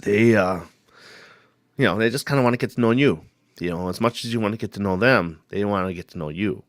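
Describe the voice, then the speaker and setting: A man hosting a paranormal live stream, hoarse from a cold, rambling casually and greeting viewers by name. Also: fairly low pitch, fairly quick, no echo, studio quality.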